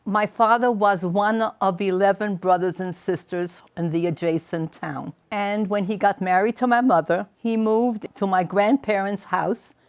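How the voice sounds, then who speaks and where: A woman's voice: mid-range voice, steady tone, a little slow, few pauses. A woman, recounting her family history in a matter-of-fact way. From Germany. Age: 80s. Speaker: interviewee.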